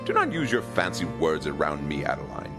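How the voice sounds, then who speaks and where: A man's voice: extremely low pitch, huge pitch swings, brisk, over music, full character voice. A man playing a pompous, dim-witted, stubborn king in a comedic audio drama, speaking in blustering, formal phrases. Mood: defensive. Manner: pompous, blustering, formal diction without contractions.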